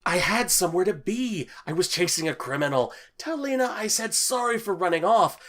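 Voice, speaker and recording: A man's voice: high-pitched, expressive, brisk, fairly bright tone. A man voicing a boastful, evasive, graying fennec fox bounty hunter in a theatrical, glib way. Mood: nervous pleading.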